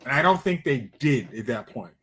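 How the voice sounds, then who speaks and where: Man, medium pitch, casual speculation, halting delivery, frequent restarts, talk co-host. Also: very animated, a bit bright. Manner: halting, thinking aloud with many restarts.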